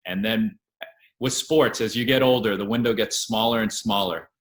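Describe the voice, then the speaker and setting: Relaxed young man in a roomy echo. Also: on the low side, moderate pace. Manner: relaxed, steady storytelling with fillers. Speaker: guest actor and writer. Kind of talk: casual interview chat.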